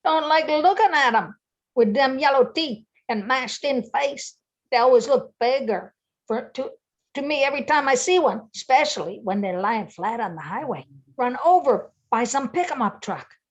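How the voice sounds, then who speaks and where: A woman's voice: sits high, animated, moderate pace, muffled recording. An older woman playing a plainspoken, squeamish but practical bayou hunter in a radio play.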